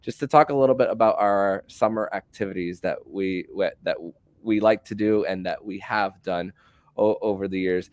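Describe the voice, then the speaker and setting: Male host in his thirties, low pitch, dry close sound, halting casual delivery. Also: no echo. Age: 30s. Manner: halting, repeats words while asking questions. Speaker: podcast host. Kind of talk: casual podcast chat.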